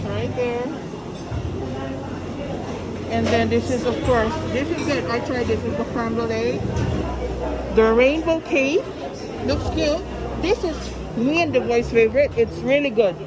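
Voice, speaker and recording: A woman's voice: a little high, rough recording. A woman giving casual vlog commentary, chatty and enthusiastic, often repeating herself, filmed on a handheld phone. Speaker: vlogger. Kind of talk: casual vlog commentary while touring a buffet. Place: large busy buffet restaurant, indoors. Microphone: handheld phone camera. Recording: busy indoor restaurant crowd and music. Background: diners' chatter and background music.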